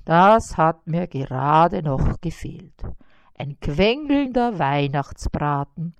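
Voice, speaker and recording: A woman plays a sleepy, grouchy male spider in a storybook, grumbling in a slow, weary drawl. His voice sits lower than the fly's and sounds smooth, close and dry. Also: lively intonation, dry recording, full character voice. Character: grumpy, lazy old male spider.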